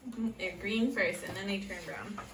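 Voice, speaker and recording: A woman's voice: mid-range voice, normal speed. A woman teaching young children English through an interactive read-aloud, using simple short phrases and prompting questions. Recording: echoey room, distant mic, faint noise.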